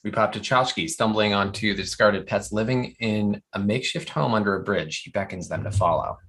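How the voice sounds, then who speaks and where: A man's voice: lowish voice, fairly quick, a bit bright. A man reading scripted stage directions as narrator at a comedy table read.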